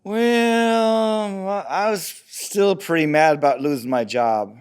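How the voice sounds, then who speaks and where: A man's voice: sits high, slow, clear, resonant tone. An actor playing a defensive, abusive deadbeat father under interrogation in an audio drama, evasive, rambling and profane. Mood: rambling, frustrated. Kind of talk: audio drama table read.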